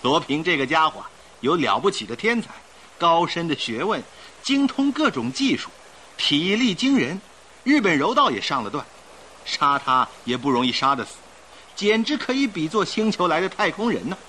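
An actor playing a suave, confident young gentleman with an emphatic, persuasive delivery in a Chinese radio drama. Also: fairly high pitch, sing-song, normal speed, slight background noise, low-quality recording. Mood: admiring, animated. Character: suave confident young gentleman passenger, admiring a famous thief. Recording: radio drama with faint music behind.

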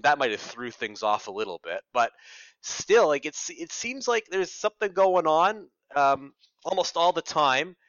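A young Canadian man with a bright, forward voice tells stories casually in an interview. He is chatty and self-deprecating. The sound is close and dry but somewhat dull. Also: fairly high pitch, sing-song, measured pace, no room sound. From Ottawa, Canada. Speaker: radio reporter being interviewed. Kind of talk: casual conversational storytelling.

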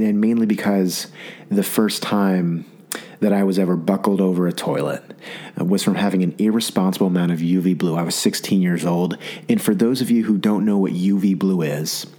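A young adult man hosting a podcast with a scratchy, sore-throat voice, talking through a rambling comic monologue with lots of restarts. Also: sits low. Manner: rambling, animated storytelling with repeated restarts. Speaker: podcast host. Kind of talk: comedic solo monologue.

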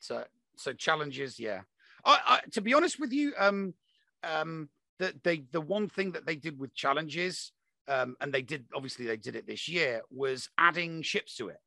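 Male gaming podcast talker: forceful, gravelly, emphatic, repeats for stress, dry sound. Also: fairly high pitch, sing-song, unhurried, dry recording. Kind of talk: opinionated casual discussion of a mobile game.

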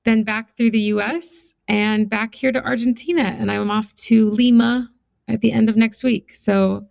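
A woman co-hosts a casual podcast from her home, speaking in a brisk, teasing way with dry humor. Her voice sits close to the mic in a dead, dry room. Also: on the high side, fairly even intonation, vocal fry, a little mellow, dry recording, highs cut off. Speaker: podcast co-host.

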